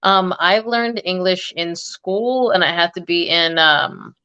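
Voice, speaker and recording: A woman's voice: fairly low pitch, slow, sharp tone, smooth. Chatty woman on a muffled stream. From United States, Egyptian family. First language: Arabic. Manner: chatty, rambling with fillers and laughs. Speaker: guest fragrance creator. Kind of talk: casual live stream chat.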